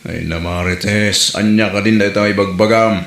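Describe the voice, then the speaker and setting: A man's voice: fairly low pitch, steady tone, moderate pace, gravelly, fairly bright tone. Man acting grumpy Ilocano husband in an echoey room. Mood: exasperated. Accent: Ilocano Filipino. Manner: grumbling, nagging. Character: grumpy, scolding, weary village husband. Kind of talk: Ilocano comedy audio drama. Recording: roomy echo with faint noise.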